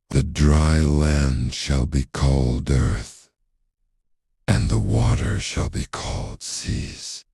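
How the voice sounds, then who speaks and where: An actor voicing God as a majestic, commanding creator, with a solemn delivery and a very deep, husky voice. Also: male voice, darkish tone, no room sound, clean studio sound, heavily acted.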